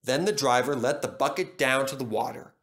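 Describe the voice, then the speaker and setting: A man's voice: medium pitch, fairly quick, fairly bright tone. A man reading scripted narration for a children's story as the storyteller.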